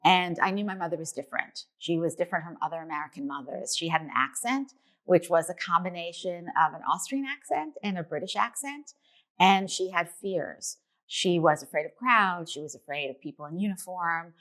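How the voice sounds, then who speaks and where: A woman's voice: lowish voice, fairly quick, fairly bright tone. A woman from New York, a documentary filmmaker, giving earnest, reflective answers in an interview.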